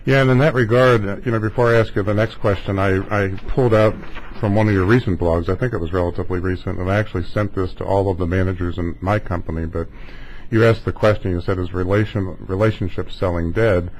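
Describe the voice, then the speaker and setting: A man's voice: deep voice, fairly even intonation, fast, slight background noise, rough recording. A man hosting a conversational interview, talking in long, wandering questions. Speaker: interview show host.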